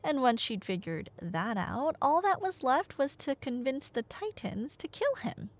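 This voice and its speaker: A woman's voice: high voice, very animated, unhurried. A woman performing an audio roleplay as a nerdy girlfriend, telling a story casually with playful asides to an unheard listener. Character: chatty nerdy girlfriend excitedly retelling Greek myths.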